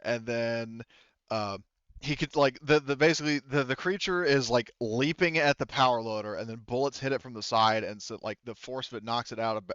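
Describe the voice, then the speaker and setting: A man narrating a tabletop sci-fi game as game master, conversational and halting, repeating words, on a headset. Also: mid-range voice, a bit bright, clear, resonant tone, no echo. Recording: dull close headset sound.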